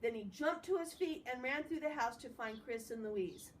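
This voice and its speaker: High-voiced woman reading a story aloud. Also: expressive, moderate pace. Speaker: storybook reader. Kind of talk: expressive read-aloud with casual asides. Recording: distant mic with faint background noise.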